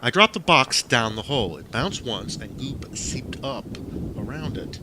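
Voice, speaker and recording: A man's voice: medium pitch, lively intonation, unhurried, noisy background. A man reading scripted, surreal first-person stories as an audiobook narrator, on a home recording.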